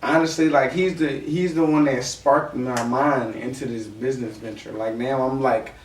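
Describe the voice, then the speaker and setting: Man, mid-pitched, Philadelphia accent, slangy podcast chat, checks he's understood, echoey room. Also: fairly even intonation, normal speed. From Philadelphia, United States. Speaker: podcast co-host. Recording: roomy echo, distant mic, faint noise.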